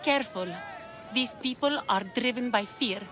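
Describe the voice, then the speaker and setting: High-voiced, pleading young woman played by an actress. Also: moderate pace, lightly acted. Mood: fervent. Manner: pleading, impassioned. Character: frightened, devoted young woman desperate for her lover. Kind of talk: video game cutscene acting.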